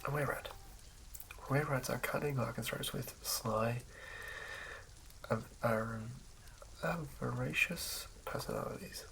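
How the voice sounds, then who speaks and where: Whispering ASMR man, heavily creaky. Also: medium pitch, measured pace, pauses often. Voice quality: breathy whisper. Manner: soothing, hushed, stumbles over words while reading. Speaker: ASMR host. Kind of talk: whispered ASMR reading of fantasy game lore. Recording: lo-fi recording with clear background noise.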